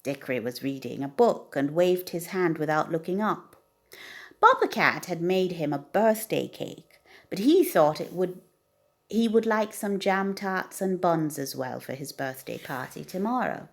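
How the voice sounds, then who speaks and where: Lively woman reading a children's bedtime story. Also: on the low side, a little slow, creaky. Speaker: storybook reader.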